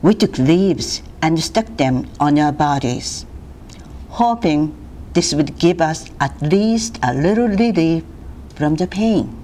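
A middle-aged woman from Japan reading a survivor's first-person story aloud as a volunteer storyteller, in a measured, solemn way. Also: lowish voice. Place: classroom, indoors. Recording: steady background room noise.

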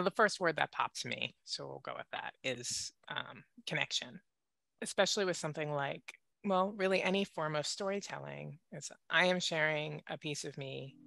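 A woman in her thirties speaks candidly and with self-deprecating humor, her voice sitting notably low for a woman. She is on a headset mic in a home room lined with bookshelves. Clear music plays underneath. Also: a bit bright. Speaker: guest writer. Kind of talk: casual interview over video call. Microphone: headset mic on video call.

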